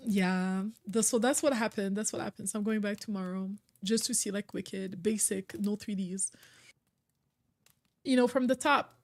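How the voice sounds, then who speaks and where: A woman's voice: medium pitch, measured pace, long pauses. A young woman whose first language is French, chatting casually with her viewers on a live stream, full of "like" and repeated phrases. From Quebec, originally Cameroon.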